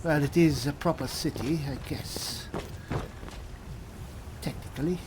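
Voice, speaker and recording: A man's voice: a little high, measured pace, noisy background. A man roleplaying a haughty elf as he comments on a fantasy game, dry and condescending. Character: haughty male high elf spellsword. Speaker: gamer, roleplay series host.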